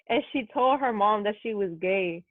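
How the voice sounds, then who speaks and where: A woman's voice: mid-range voice, measured pace, no echo. A young woman chatting casually in a group podcast conversation. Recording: muffled, phone-like, thin sound.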